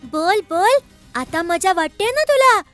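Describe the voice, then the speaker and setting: A small, hot-headed boy god for a children's cartoon. The voice is extremely high and childlike, with emphatic, declamatory lines. Faint music plays behind it. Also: lively intonation, a little slow, a bit bright, exaggerated voice. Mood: angry, taunting. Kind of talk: animated children's story dubbing in Marathi.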